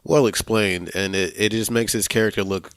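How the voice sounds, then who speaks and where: Guy on a film podcast, lowish voice, kinda hesitant and measured, casual, no room echo. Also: steady tone, clear, resonant tone.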